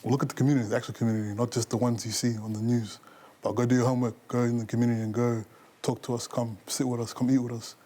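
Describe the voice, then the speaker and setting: A young Australian man with an American-influenced accent, earnestly sharing his personal story in quick lists. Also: mid-range voice, level tone, dark tone. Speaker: panel guest. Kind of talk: personal testimony.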